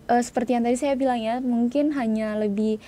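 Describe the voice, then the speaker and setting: A woman's voice: a little high, steady tone, brisk, dark tone. A young woman, answering casually as an interview guest, informal and searching for her words. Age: young adult. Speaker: interview guest, student writer.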